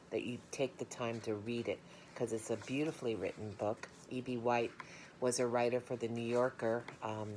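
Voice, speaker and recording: Female storybook reader, strikingly low register, informal read-aloud delivery, slight background noise. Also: darkish tone, poor audio. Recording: noticeable background noise, room ambience.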